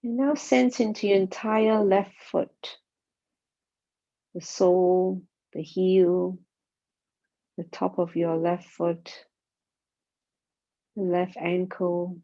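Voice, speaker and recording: Very slow, low, dark-voiced woman guiding meditation. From Singapore. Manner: calm, gentle instruction with spaced short phrases. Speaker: mindfulness meditation teacher. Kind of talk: guided body scan meditation. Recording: dull, muffled lo-fi sound.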